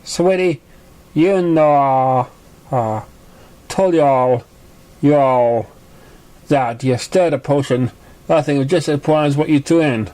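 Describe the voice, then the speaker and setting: A man voicing an earnest, exasperated young country filly from a cartoon story, in a folksy, fretful, halting way. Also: a little high, dark tone. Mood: alarmed, scolding.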